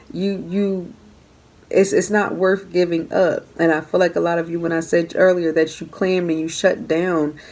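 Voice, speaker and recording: Low-voiced woman giving a tarot reading. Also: darkish tone. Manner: earnest, repeats phrases while coaching the listener. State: hoarse, losing her voice. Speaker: tarot reader. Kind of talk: casual tarot reading for viewers.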